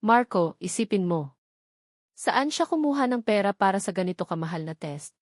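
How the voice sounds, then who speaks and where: A woman's voice: mid-range voice, animated, moderate pace, no echo, clean studio sound, lightly acted. A woman narrator voicing a manipulative older mother who pleads theatrically and plays the wounded victim in a story. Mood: tearful pleading. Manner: pleading, theatrical, guilt-tripping.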